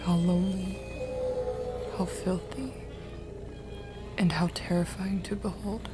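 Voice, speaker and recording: A woman playing a tormented male hospital patient in a horror manga dub, breathy and whispery, with a brooding, dramatic delivery. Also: lowish voice, close to an everyday voice.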